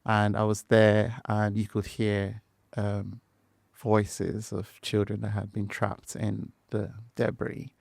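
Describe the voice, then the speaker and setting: A man's voice: on the low side, completely flat delivery, slow pace, gravelly, few pauses, a little mellow, no echo. A man with a Nigerian accent, a podcast guest and engineer, speaking thoughtfully with hesitations and fillers. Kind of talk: conversational interview.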